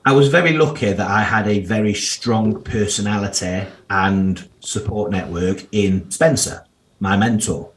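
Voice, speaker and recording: A man in his thirties with a broad Yorkshire accent and a fairly low voice. He talks candidly and bluntly, dropping slang and the occasional swear word. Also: a little slow. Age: 30s. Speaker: guest financial planner. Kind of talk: casual podcast interview.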